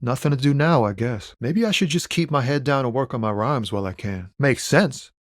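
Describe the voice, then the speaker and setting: An actor playing a laid-back, philosophical truck driver and aspiring poet in a video game, talking in a musing, slangy way. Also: male voice, mid-range voice, animated, fairly quick, dry recording, studio quality, natural voice. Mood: downcast. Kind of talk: conversational video game character dialogue.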